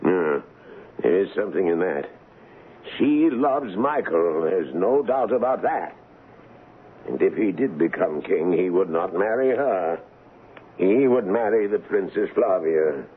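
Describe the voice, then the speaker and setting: Wary old colonel, deep, unhurried, deferential, vintage radio drama through a muffled broadcast. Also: male voice, expressive, slightly rough, exaggerated voice. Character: cautious, loyal older colonel advising a royal impostor. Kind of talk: 1970s radio drama acting.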